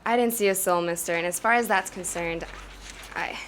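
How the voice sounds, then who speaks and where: A woman's voice: on the low side, a little fast, a bit bright, lightly acted. An actress playing a brash, sassy young female hitchhiker in an old-time radio drama, with a plain-spoken, wisecracking delivery. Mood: puzzled, alarmed. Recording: clearly noisy radio play recording.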